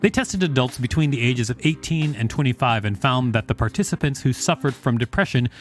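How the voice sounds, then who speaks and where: A man's voice: mid-range voice, expressive, brisk, soft background music. A man co-hosting a podcast, reading an encyclopedia article aloud with informal, joking banter. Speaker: podcast co-host.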